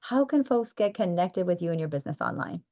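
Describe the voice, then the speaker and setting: A woman's voice: lowish voice, very animated, brisk, darkish tone. A woman with an American accent hosting a podcast interview, affirming and enthusiastic as she asks questions. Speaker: podcast host.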